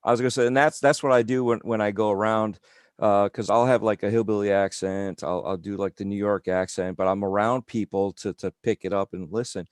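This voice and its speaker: A man co-hosting an online interview, telling a story in a halting, rambling way. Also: fairly low pitch, fairly even intonation, clear, resonant tone, dry recording, clean studio sound. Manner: rambling, halting, restarts often. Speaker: co-host. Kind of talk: casual chat, storytelling.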